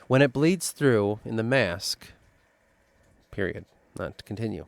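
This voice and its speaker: A man with a Midwestern accent reading through his own fantasy lore notes and thinking aloud, halting and restarting as he goes. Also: on the low side, animated, vocal fry, dry recording. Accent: Midwestern American. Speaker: worldbuilding writer.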